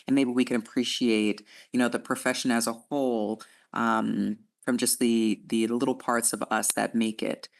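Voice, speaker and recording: A woman's voice: rumbling low, little pitch movement, normal speed, gravelly, few pauses. Chatty woman podcast host. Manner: chatty, filler-laden, encouraging. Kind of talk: interview host.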